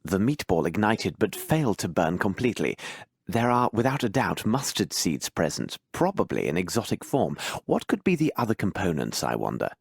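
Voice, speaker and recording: A low-voiced, imperious British detective. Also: male voice, sing-song, creaky, husky, no room sound, heavily acted. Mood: analytical, intrigued. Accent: British English. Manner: precise, formal, deliberate, theatrical. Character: shrewd, imperious gentleman detective, adult man. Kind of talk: dramatic video game voice acting.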